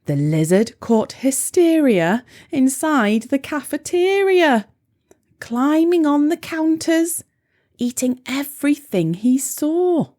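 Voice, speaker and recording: A woman's voice: sits high, sing-song, slow, warm tone. A woman reading a rhyming children's storybook aloud in a playful, theatrical way. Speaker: storybook reader.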